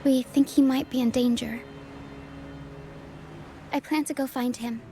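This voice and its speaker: A woman voicing a gentle, helpful young girl in a video game, soft-spoken and earnest with a playful streak. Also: high voice, steady tone, unhurried. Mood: concerned, earnest. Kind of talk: video game cutscene voice acting.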